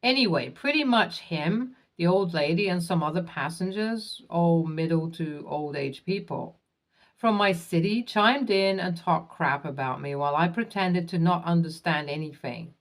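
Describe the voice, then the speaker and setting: Low-voiced woman reading stories evenly. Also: moderate pace, poor audio. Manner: even reading pace, spells acronyms letter by letter. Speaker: narrator. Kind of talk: scripted narration of online posts.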